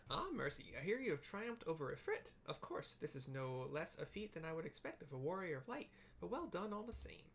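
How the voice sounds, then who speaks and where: A person reading game dialogue aloud as a formal, earnest fantasy character, with theatrical, archaic, deliberate delivery. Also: medium pitch, big pitch swings, a bit bright, a little hiss or hum. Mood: grave, earnest. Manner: theatrical, stately, deliberate wording.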